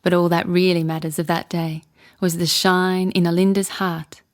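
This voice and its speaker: A woman narrating a children's bedtime story in an expressive, measured storytelling manner. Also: on the low side.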